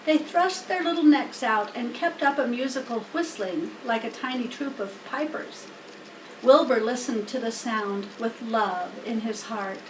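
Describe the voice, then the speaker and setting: A woman's voice: on the high side, a little slow. A woman narrating a children's story, reading aloud from a book. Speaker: storybook reader. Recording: roomy, distant mic, faint background noise.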